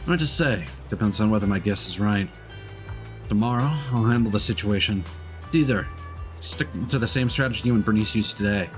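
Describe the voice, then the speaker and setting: A man's voice: fairly low pitch, fairly quick. A male streamer casually commenting on a game and reading its text and dialogue aloud. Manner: relaxed, reads dialogue lines flatly and comments between them. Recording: game music behind the voice.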